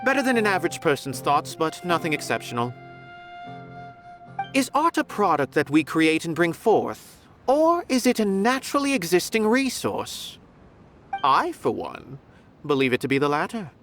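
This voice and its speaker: A woman's voice: on the low side, sing-song. A woman voicing an aloof, condescending theater philosopher in a video game, pompous and musing. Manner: pompous, musing, deliberate phrasing. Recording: faint music behind the voice.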